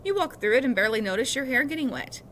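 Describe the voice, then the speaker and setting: Female reader, bright and slightly high, for children's fiction read aloud; faint noise behind. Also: expressive, fast talker.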